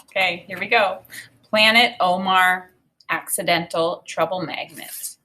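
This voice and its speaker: A woman in her thirties teaching a read-aloud lesson, enthusiastic and expressive, thinking out loud in a conversational way. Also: on the low side, a little slow. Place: small home room, indoors.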